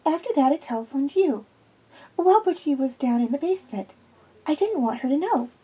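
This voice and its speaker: A woman voicing a nervous, jumpy young woman who speaks haltingly. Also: high voice, animated, mellow, pitched above the performer's own voice, low-quality recording. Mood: anxious. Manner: anxious, halting.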